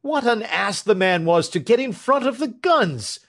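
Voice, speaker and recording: A man voicing an angry, blustering upper-class sporting gentleman in a dramatic audiobook, exclaiming loudly and indignantly. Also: high-pitched, expressive, measured pace, pitched above the performer's own voice, exaggerated voice. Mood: alarmed shouting. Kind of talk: dramatic audiobook character acting.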